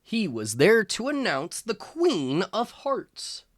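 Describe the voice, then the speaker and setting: Slow, animated man reading a children's storybook. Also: sits high, no room sound, studio quality. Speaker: tutor reading a storybook aloud to young children. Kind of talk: children's storybook read-aloud.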